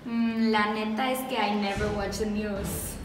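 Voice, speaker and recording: A woman's voice: mid-range voice, steady tone, moderate pace, recorded from a distance, a little hiss or hum, natural voice. A woman voicing a lazy, carefree Mexican interviewee who drops Spanish slang. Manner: casual, laid-back, Spanish slang. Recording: echoey room, music behind.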